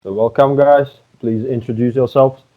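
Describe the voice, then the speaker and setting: A man's voice: medium pitch, steady tone, moderate pace, background noise. A man hosting a casual video chat, encouraging the others to take turns. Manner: encouraging, moderating. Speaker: host. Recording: dull lo-fi audio.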